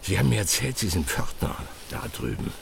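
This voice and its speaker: Old scientist, gravelly low-pitched voice, curt, pedantic, formal, radio play, faint background noise. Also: male voice, expressive, brisk. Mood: curious, musing. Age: older. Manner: precise, abrupt, formal. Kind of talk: German radio crime drama acting.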